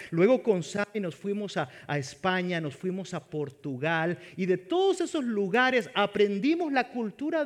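Man, pastor, from El Salvador, animated joking sermon, bright high voice, swinging pitch. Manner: animated, joking, addresses the congregation directly.

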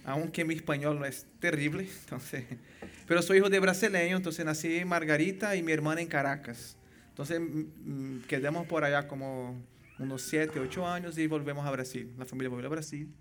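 A man from Venezuela with a Brazilian Portuguese accent, earnestly telling his testimony as a missionary guest, halting and restarting often. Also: fairly high pitch, fairly bright tone, a little hiss or hum. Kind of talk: personal testimony in church interview.

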